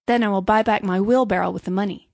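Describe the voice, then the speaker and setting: A woman's voice: medium pitch, steady tone, fast talker, creaky, no echo, close to an everyday voice. Woman playing a meek, earnest little storybook man. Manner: earnest, apologetic, emphatic. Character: meek, naive, good-hearted little man, eager to please. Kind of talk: storybook character voice.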